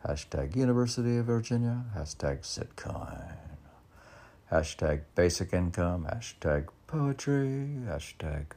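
A man musing in a rambling podcast style as a poet, in a halting, gravelly, husky voice that often trails off. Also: sits low, animated, dark tone. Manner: halting, drawn-out delivery with trailing-off phrases. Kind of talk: rambling podcast musing, asking and answering himself.

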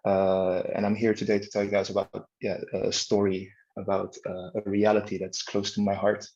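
A man's voice: on the low side, monotone, moderate pace, a little mellow. A young man from Bonaire, a student, giving an earnest talk as guest speaker over a video call. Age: young adult. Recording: dull lo-fi call audio.

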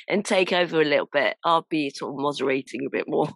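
A woman with a croaky voice from a sore throat, hosting a casual podcast interview, laughing often and apologising for her voice. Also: low voice, animated, brisk, no echo. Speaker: podcast host.

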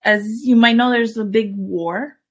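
A woman's voice: a little high, a little slow. A woman, chatting casually and telling stories with quoted dialogue and occasional Spanish. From Canada, born in El Salvador. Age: 40s. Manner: animated retelling with quoted dialogue. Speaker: podcast co-host. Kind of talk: casual conversational storytelling with some Spanish mixed in.

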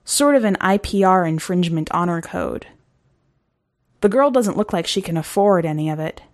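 A woman reading a literary short story as scripted narration, in a measured, deliberate way. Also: lowish voice, animated. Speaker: podcast host and story reader.

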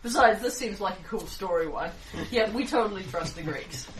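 A woman's voice: medium pitch, lively intonation, moderate pace. A woman playing a tabletop game, chatting playfully and laughing easily. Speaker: player. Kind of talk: casual tabletop game chat. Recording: roomy, distant, noisy.

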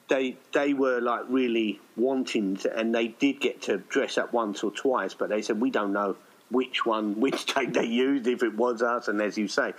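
A man's voice: fairly low pitch, poor audio. A man talking casually as an interview guest, in a rambling, hesitant, self-effacing way. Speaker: writer and director, podcast guest.

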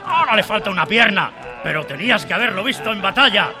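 An actor playing a rough, teasing, rowdy sailor in a radio drama. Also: male voice, high voice, expressive, fast talker. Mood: teasing, admiring. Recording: radio drama with music and crowd.

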